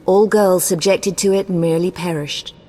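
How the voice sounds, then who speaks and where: A woman's voice: lowish voice, steady tone, normal speed, warm tone, natural voice. A woman playing an imperious, ruthless sorceress in a video game, haughty, formal and commanding. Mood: clinical, detached. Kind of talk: scripted video game dialogue. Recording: long cavernous reverb.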